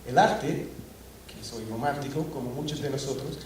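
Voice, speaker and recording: A middle-aged man addresses a crowded auditorium, his mid-pitched voice carrying from a distance across a very echoey hall. He stops and restarts often, leaning on fillers as he works through a technical talk. A faint hum of noise sits under him. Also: normal speed, darkish tone. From Scotland. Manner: hesitant, many fillers and restarts. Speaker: conference speaker. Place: large auditorium. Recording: echoey hall recorded from afar.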